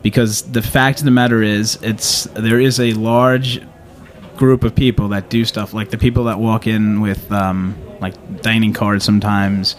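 A man hosting a radio show in a studio, chatting casually in a halting, rambling way. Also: fairly low pitch, little pitch movement. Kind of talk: casual talk show chat.

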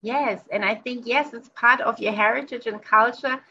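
A woman's voice: on the high side, fairly even intonation, normal speed, sharp tone, highs cut off, a little hiss or hum. A woman whose first language is German, hosting a conversational interview, enthusiastic and effusive with many "you know"s. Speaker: podcast host.

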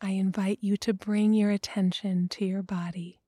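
A woman guiding a breathing meditation, speaking slowly and softly and often in a whisper. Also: medium pitch, flat delivery, no echo, clean studio sound. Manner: slow, soft, often whispered. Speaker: meditation guide.

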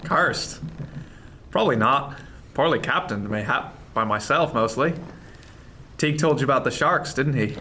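An actor playing a kindly, calm first mate of a sailing ship in a period radio drama, with a reassuring, understated manner. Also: male voice, medium pitch, normal speed, long pauses, slight background noise. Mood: wry, calm.

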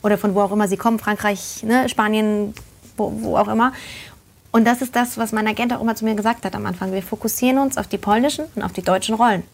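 A woman in her forties, an actress and voice actor, talking as a guest in a casual chat, animated and quick to laugh. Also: medium pitch, vocal fry. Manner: animated, laughs easily, talkative.

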